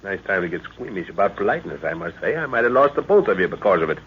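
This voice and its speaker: An avuncular older professor and expedition leader in a vintage radio serial. His pitch moves in wide, animated swings with musing pauses, on a dull, noisy recording. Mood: fatherly. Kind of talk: old-time radio drama acting.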